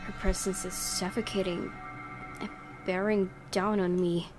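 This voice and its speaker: A woman's voice: medium pitch, level tone, measured pace, a little mellow. A woman gaming YouTuber doing a let's play, reading story text aloud expressively with casual asides. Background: game music.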